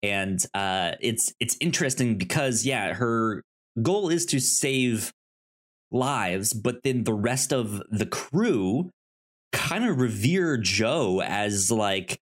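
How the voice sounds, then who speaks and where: Slow, halting man talking casually. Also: mid-range voice, lively intonation. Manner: halting, stammering with repeated word starts. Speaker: podcast co-host. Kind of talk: casual film discussion.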